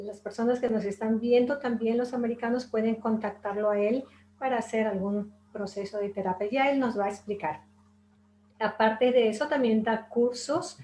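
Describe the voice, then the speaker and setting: A woman's voice: a little high, mellow. A woman hosting a webinar, introducing her guest in a chatty way with many fillers. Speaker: host, hypnotherapist. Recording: echoey room, faint noise.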